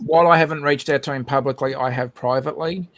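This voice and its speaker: A man's voice: medium pitch, steady tone, quick pace, a bit bright. A man with an Australian accent, a guest chatting casually on a livestream, rambling with many fillers and restarts. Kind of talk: casual chat.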